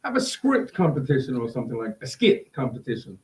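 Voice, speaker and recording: A man's voice: mid-range voice, sing-song, a little fast, poor audio. A man speaking casually as a panelist in a group podcast conversation.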